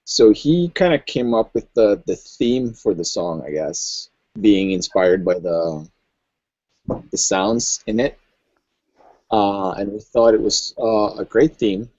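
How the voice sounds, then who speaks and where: A man speaks slowly and hesitantly, filling gaps with ums as he explains. His voice sits low. The recording is dry and low-fidelity. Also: animated, mellow, no room sound, muffled recording. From Norway. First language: Norwegian. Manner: thoughtful, hesitant, many ums. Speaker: electronic music producer, guest. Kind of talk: casual interview chat.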